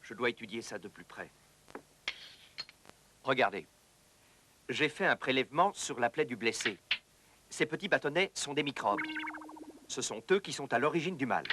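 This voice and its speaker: A man voicing an earnest, thoughtful doctor and researcher in an educational cartoon, speaking in a measured, explanatory way. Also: medium pitch, expressive, noisy background, natural voice. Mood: explaining, thoughtful. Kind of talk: French-dubbed educational cartoon acting. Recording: music under the voice.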